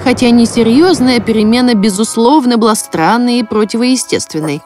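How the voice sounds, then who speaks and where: A woman's voice: a little high, normal speed. A woman reading scripted fairy-tale narration as the storyteller of a children's cartoon. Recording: music behind the voice. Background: music.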